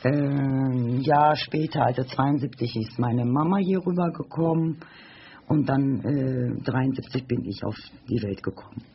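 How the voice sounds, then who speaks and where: A woman's voice: rumbling low, a little slow, vocal fry, husky. A woman in her forties from Germany, chatting in a studio and telling family anecdotes at length, with lots of hesitation. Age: 40s. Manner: chatty, full of fillers and restarts while telling anecdotes. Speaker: studio guest. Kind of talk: casual storytelling chat. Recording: dull lo-fi radio recording.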